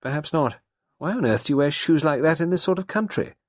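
A man's voice: a little high, lively intonation, a little fast, no echo, clean studio sound, voice pushed up. A man voicing a stubborn, argumentative young Englishwoman in a dramatised storybook reading, speaking with impatient emphasis. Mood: unkind, brisk.

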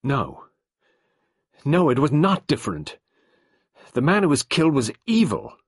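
A man's voice: a little high, big pitch swings, measured pace, breathy edge, dry recording, clean studio sound, pitched above the performer's own voice, exaggerated voice. A man reading the part of a proud young woman who pleads passionately and intensely. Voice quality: passionate, imploring.

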